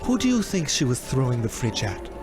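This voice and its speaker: A man's voice: mid-range voice, sing-song, a little fast, music in the background, close to an everyday voice. A man playing a fussy, gossipy hotel clerk in a comic radio play, eager and exasperated.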